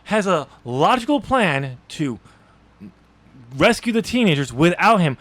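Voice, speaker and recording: A man's voice: high-pitched, very animated, unhurried, a bit bright, dry recording. A man co-hosting a podcast, casually discussing a book in an informal, chuckling way. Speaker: podcast host.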